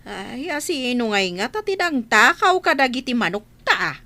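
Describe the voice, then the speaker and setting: A woman's voice: fairly high pitch, big pitch swings, normal speed, bright, forceful tone, heavily acted. A woman playing a worried older village mother, fretful and exclaiming, in a radio comedy drama. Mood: alarmed.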